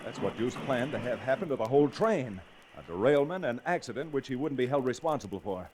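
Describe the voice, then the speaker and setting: An actor playing a confident young cowboy lawyer, commanding and wry, in an old radio Western. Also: male voice, mid-range voice, lively intonation, brisk, close to an everyday voice. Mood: resolute. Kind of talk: 1940s radio Western acting. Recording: noisy old 1940s radio recording.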